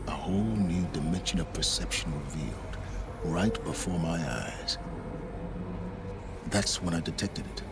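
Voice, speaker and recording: An actor playing a learned, calculating older man in a video game, speaking in a measured, self-assured and deliberate way. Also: deep voice. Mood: measured, intent. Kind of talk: dramatic video game acting. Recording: music behind the voice.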